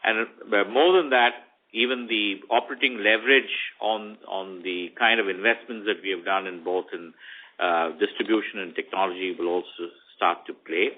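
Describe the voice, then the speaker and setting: A man answering as a bank executive on an earnings call, halting and repeating words as he goes. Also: fairly low pitch, steady tone. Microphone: conference call line. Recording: phone-like line with faint noise.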